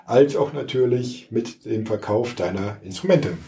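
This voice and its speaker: A man hosting a podcast, asking questions at a festival and reading the show's outro in a lively, clearly articulated way. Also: medium pitch, measured pace, no room sound. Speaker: podcast host and interviewer. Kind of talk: interview questions and scripted outro. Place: outdoor music festival.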